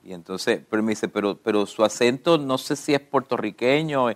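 A man, interviewing a pastor and telling stories in a lively way. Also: mid-range voice, moderate pace. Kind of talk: church radio interview.

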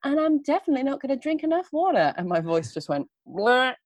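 A woman's voice: sits high, big pitch swings, fast, no room sound. A young woman chatting casually on a podcast, bubbly and self-mocking, often laughing at herself.

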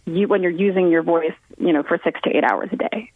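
A woman's voice: fairly low pitch, fairly quick, no room sound. A woman singer talking casually in an interview, chatty with frequent ums and restarts. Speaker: guest singer. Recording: tinny phone-line audio.

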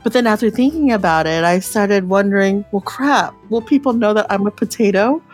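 A woman's voice: mid-range voice, a little fast, a little mellow, soft background music. Chatty young woman co-hosting a podcast. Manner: chatty and giggly, reads guest intro. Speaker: podcast co-host. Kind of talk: casual chat.

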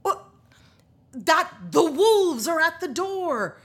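Reluctant, earnest sci-fi heroine, audio drama. Blunt and stubborn, unhurried delivery, lively intonation. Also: female voice, very high-pitched, full character voice. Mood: indignant. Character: principled, stubborn young heroine, reluctant and guarded.

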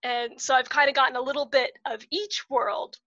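A woman's voice: high-pitched, fairly even intonation, moderate pace, bright, forceful tone, highs cut off. A woman hosting an interview, chatting casually with lots of fillers and laughter. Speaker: podcast host.

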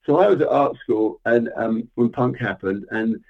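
A man's voice: mid-range voice, moderate pace, creaky. Englishman on a tinny phone-like line. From England. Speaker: guest. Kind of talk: casual interview chat.

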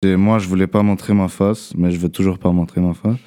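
A young man is answering questions in a relaxed interview. His is Arabic. He speaks haltingly, restarts his phrases and tags sentences with a loose "là". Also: deep voice. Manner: halting, repeats words, trails off with "là". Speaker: guest rapper. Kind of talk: casual interview chat.

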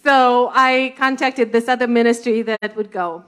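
Animated woman with a Russian accent. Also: on the high side, unhurried, clear, resonant tone. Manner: animated, laughing, storytelling with quoted thoughts. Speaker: ministry leader sharing testimony. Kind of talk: conversational church talk.

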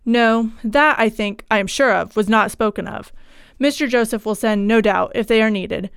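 A woman voicing a male country clergyman in a storybook reading, formal, brisk and authoritative. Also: fairly high pitch, creaky, clean tone, dry recording, clean studio sound. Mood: brisk, impatient.

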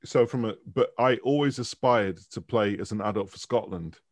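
A man's voice: lowish voice, normal speed, no room sound, studio quality. A middle-aged man with a Mancunian accent and a trace of Dundee, chatting loosely as a guest lecturer. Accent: Mancunian with some Dundee. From Manchester, England. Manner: chatty, rambling, laughs easily. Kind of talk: casual interview chat.

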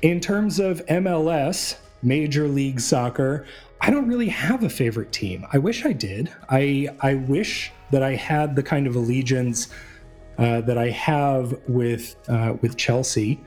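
A man from New England talks through a solo podcast episode in a loose, rambling way, full of "uh"s and restarts. His voice sits at an ordinary middle pitch. Faint music plays softly underneath. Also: expressive, unhurried. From New England, USA. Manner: rambling and chatty, many fillers and restarts. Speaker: podcast host.

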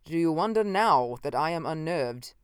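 A man narrator puts on a gaunt, commanding male scientist from another century in an audiobook tale. He speaks with slow, deliberate intensity, cruel and unnerved. The voice is clear and closely recorded. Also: fairly high pitch, animated, no echo, studio quality, natural voice. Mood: shaken, intense. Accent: odd, unfamiliar.

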